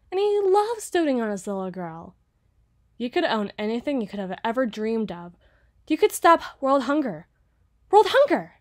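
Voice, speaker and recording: A woman playing a rich, possessive young heiress who is condescending and doting, with a haughty, teasing manner, in an ASMR roleplay. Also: fairly high pitch. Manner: haughty, teasing, drawn-out words. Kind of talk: ASMR roleplay, scripted monologue.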